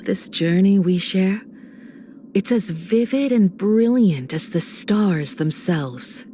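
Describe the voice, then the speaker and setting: A graceful woman who navigates a starfaring train in a video game. She speaks slowly with expressive swings in pitch, composed and kind, gently teasing yet steady. Faint noise sits behind the polished performance. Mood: reflective, admiring. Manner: poised, unhurried, gently teasing and reassuring.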